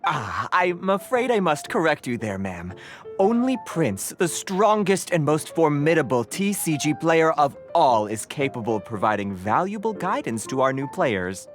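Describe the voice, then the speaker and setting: A woman's voice: low-pitched, very animated, moderate pace. A woman playing a reverent, formal and earnest card-game devotee who interprets for a cat in a video game. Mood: solemn, deferential. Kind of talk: scripted video game dialogue. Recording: faint music behind.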